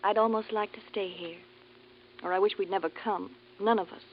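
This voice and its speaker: An actress playing a gentle, wistful young woman of a rocket crew in old radio drama style. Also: medium pitch, steady tone, brisk, lightly acted. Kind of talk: 1950s radio drama acting. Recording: dull, noisy vintage radio recording.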